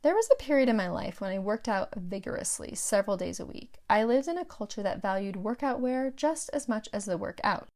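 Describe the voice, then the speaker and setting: A middle-aged woman narrating her own audiobook from a script, with measured, clearly phrased delivery. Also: medium pitch, lively intonation, a little mellow.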